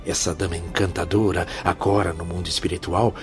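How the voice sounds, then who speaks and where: A wondering, devout male spirit with a very low voice and a Brazilian accent. He sounds reverent and theatrical, radio soap style, with music clearly playing behind him. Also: steady tone, a little fast. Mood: awed. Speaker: actor in a radio drama.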